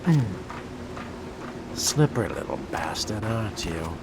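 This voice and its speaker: A man role-playing a sardonic, predatory vampire in solo game commentary, with wry, theatrical delivery and formal turns of phrase, under loud music. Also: lowish voice, very animated, takes it slowly, background noise. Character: sardonic, predatory fledgling vampire stalking prey. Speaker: gamer role-playing through a video game.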